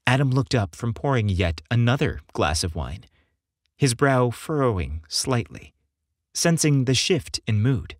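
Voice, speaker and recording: Expressive male narrator, dry sound. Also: medium pitch, measured pace, no room sound. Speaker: audiobook narrator. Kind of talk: scripted story narration.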